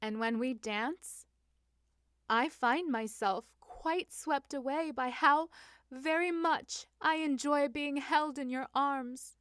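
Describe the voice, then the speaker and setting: A woman's voice: sits high, takes it slowly, a bit bright, no room sound, clean studio sound, voice pushed up. A woman voicing a giddy, playful young Regency lady in an audiobook-style story, speaking in a dreamy, unguarded way. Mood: dreamy, affectionate.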